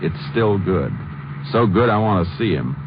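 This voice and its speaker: A muffled vintage radio recording of a stern Texas Ranger. The voice is very deep, matter-of-fact and clipped, in crime drama style. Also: male voice, animated, moderate pace, heavy background noise. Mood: firm, determined. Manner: terse, matter-of-fact, unruffled. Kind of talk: 1950s radio drama acting and narration.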